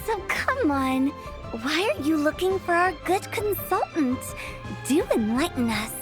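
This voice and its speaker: A woman's voice: high voice, very animated, a little slow. A woman voicing a playful, theatrical young female director in a video game, teasing and chatty. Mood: breezy, sly. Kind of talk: video game cutscene acting. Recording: background music under the voice.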